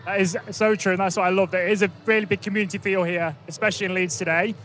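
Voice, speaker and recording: A man's voice: high-pitched, steady tone, quick pace, a bit bright, soft background music. A man hosting a podcast, interviewing people outdoors at a festival with enthusiastic energy. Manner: enthusiastic, repeats "big, big question". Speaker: podcast host. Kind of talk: upbeat vox-pop interviewing.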